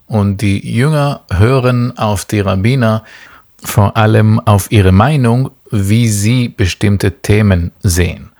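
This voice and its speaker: Male guest from Israel, low gravelly voice, slow and patient explainer. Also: a little mellow. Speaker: interview guest, tour guide. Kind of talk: explaining to children in an interview.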